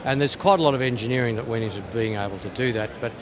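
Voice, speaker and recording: A man's voice: mid-range voice, very fast, crowd noise. A man giving an informal, playful product demonstration as a company representative at a trade show. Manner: tongue-in-cheek, playful.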